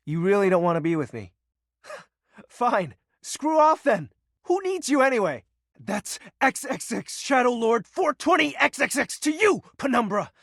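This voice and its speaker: Game role: brooding personification of darkness, grandiose yet awkward and wistful, highly animated pitch, dry recording. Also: male voice, no echo, full character voice. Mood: indignant, scornful. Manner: theatrical grandiose villain speech slipping into awkward, wistful sincerity. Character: brooding, lonely, melodramatic male personification of darkness. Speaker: voice actor. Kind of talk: dramatic video game character acting.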